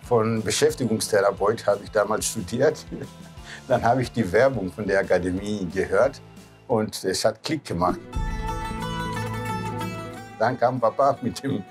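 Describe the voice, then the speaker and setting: A man's voice: lowish voice, a little slow, pauses often. A man in his sixties with a Greek accent reminisces casually in non-native speech with loose grammar, often adding short questioning tags. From Greece. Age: 60s. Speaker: cruise ship captain. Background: background music.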